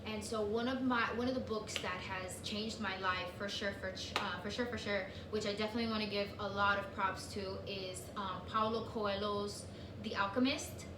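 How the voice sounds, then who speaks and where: A woman's voice: medium pitch, steady tone, moderate pace. A woman whose first language is Spanish, co-hosting a casual chat and talking with lots of fillers and restarts. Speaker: co-host. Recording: echoey room, distant mic, noisy.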